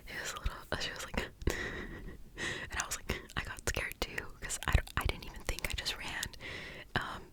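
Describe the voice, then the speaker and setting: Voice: normal speed, pauses often. A person telling a story in a fully unvoiced ASMR whisper, rambling with many "like"s and tripping over words. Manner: rambling, heavy use of "like"; gets tongue-tied, restarts often. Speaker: ASMR creator.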